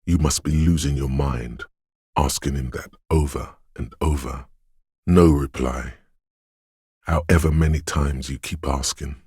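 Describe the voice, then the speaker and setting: A man voicing the eerie, brooding inner voice of a detective's intuition in a video game, with slow, ominous, dreamlike narration and a husky, gravelly quality. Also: very deep voice, steady tone, darkish tone, no echo, clean studio sound, full character voice. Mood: brooding, mysterious. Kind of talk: scripted video game narration in second person.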